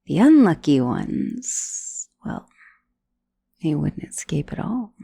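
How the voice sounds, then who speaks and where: A woman's voice: low voice, very animated, takes it slowly, vocal fry, dark tone, breathy edge, dry recording, exaggerated voice. Woman voicing a wry undead severed head, dry close recording. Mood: gleeful, menacing. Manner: conversational, dryly sardonic, with sighs and hesitations. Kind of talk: ASMR roleplay monologue.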